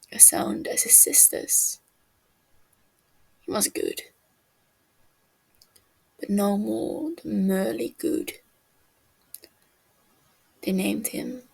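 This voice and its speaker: A woman's voice: a little high, gravelly, slightly rough, rough recording. A woman reading a scripted fantasy tale as a podfic narrator.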